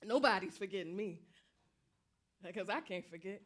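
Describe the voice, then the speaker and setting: A woman's voice: mid-range voice, animated, normal speed, natural voice. A woman plays the restless ghost of a woman soldier in a stage drama. She is taunting and insistent, speaking in a streetwise vernacular and refusing to be forgotten. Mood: defiant.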